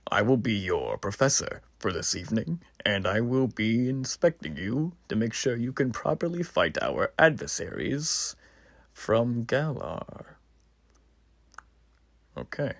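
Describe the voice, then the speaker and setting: A man's voice: mid-range voice, vocal fry, no room sound, clean studio sound, exaggerated voice. A man playing an earnest, proud revolutionary army officer in a roleplay, speaking to the listener in a formal, rambling, stately way. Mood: stately, welcoming. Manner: formal, rambling, theatrically stately, hushed asides. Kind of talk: roleplay voice acting addressed to the listener.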